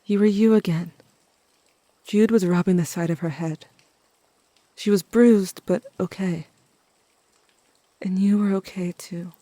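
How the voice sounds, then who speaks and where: A performer playing a young teenage narrator telling a haunted story in a measured, scripted audio-drama style. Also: mid-range voice, steady tone, mellow, no echo, natural voice. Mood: solemn, haunted. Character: young Cain, grieving, watchful older teenage narrator.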